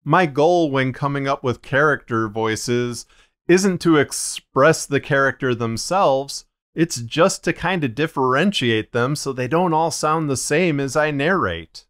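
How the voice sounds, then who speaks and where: A man with a slightly muddied voice of limited range, narrating an explanatory video in a measured, deliberate, plain-spoken way as a podcast host. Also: a little high, fairly bright tone.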